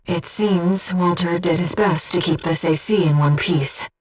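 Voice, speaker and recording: A woman voicing a gentle, earnest disembodied being in a video game, guiding a pilot with composed, precise, softly formal delivery. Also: fairly low pitch, unhurried, breathy edge, far from the mic, faint music behind, rough recording. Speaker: mission support operator. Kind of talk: scripted video game voice acting.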